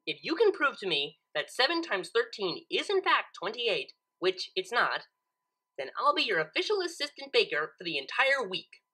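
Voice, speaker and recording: Woman acting a bookish, bright-voiced cartoon unicorn. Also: medium pitch, sing-song, moderate pace, slightly rough, exaggerated voice, rough recording. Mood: challenging. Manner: insistent, precise, challenging. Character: bookish, exasperated young female cartoon unicorn. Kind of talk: cartoon fan fiction character reading.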